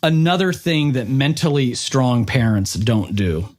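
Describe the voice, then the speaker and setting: A man's voice: mid-range voice, expressive, a little slow, darkish tone. A man hosting a podcast in an informal, chatty, conversational way, as in an interview. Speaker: podcast host.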